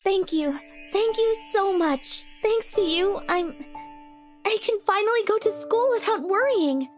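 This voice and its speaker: Woman playing a sweet junior high girl, very high-pitched, earnest, blunt at times, music behind. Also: lively intonation, unhurried. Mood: grateful. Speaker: voice actor. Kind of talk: manga dub voice acting. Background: background music.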